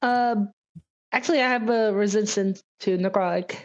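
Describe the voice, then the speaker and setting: A woman's voice: medium pitch, normal speed. A woman playing a tabletop game, chatting casually and speaking for her character, in slightly muffled audio.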